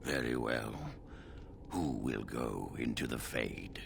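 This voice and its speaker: A man playing a grave, knowledgeable mage in a fantasy game, speaking in a measured, formal way. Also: a bass voice, gravelly, a little hiss or hum, exaggerated voice. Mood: grave, cautioning.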